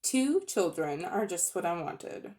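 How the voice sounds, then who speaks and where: A cunning old magician uncle, smooth and coaxing with a hint of menace, as a woman reads him aloud. His pitch rises and falls with sly relish, and he sounds falsely friendly in a children's storybook. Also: sing-song, slow pace, a little mellow.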